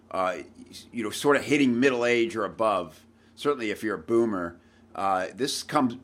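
A man's voice: fairly low pitch, a bit bright. A man in his forties hosting a podcast, chatting loosely with lots of fillers and restarts. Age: 40s. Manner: scripted intro then loose, filler-heavy talk. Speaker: podcast host. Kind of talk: casual podcast chat.

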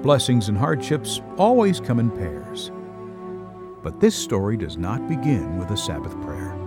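Guy narrating a bible story, dramatic scripted read, lively intonation, music behind. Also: medium pitch. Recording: music under the voice.

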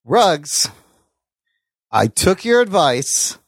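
Enthusiastic, higher-voiced man chatting. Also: very animated, drawn-out words, breathy edge, dry recording, clean studio sound. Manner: enthusiastic, sweary. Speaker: podcast co-host. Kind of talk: casual pop culture chat.

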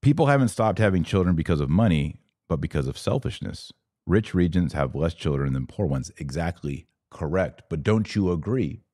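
A man's voice: low voice, dark tone, no echo, studio quality. A man hosting a live religious commentary podcast, answering comments in an exhortative, emphatic way and repeating key phrases.

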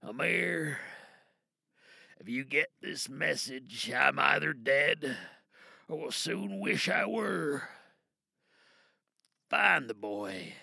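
A man playing a dying, bitter rebel in an audio drama, with a gravelly voice and weary, halting, pained delivery. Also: on the high side, lively intonation, pushed and bright, no room sound, clean studio sound, full character voice. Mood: urgent, resigned. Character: dying, bitter, angry cyberpunk rebel recording a last message.

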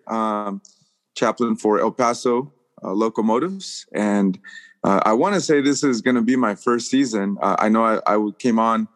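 Easygoing lower-voiced man. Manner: easygoing, hesitant with fillers. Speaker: pastor and club chaplain. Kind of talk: casual podcast chat.

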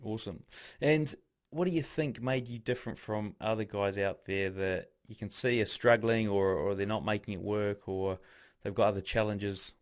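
A man's voice: fairly low pitch, fairly even intonation, moderate pace. A man conducting a casual interview, asking conversational questions.

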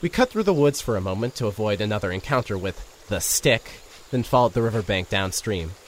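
A man's voice: fairly low pitch, lively intonation, a little fast, slight background noise, natural voice. Man acting wry, awkward radio sleuth narrator. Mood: bemused. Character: awkward, wry male radio host turned investigator. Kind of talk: scripted first-person audio drama narration.

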